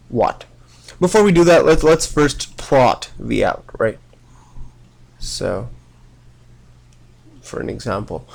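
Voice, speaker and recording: A man's voice: medium pitch, creaky. A young man with a cold giving a casual electronics lecture, often checking in with "right?" and restarting his sentences. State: has a cold.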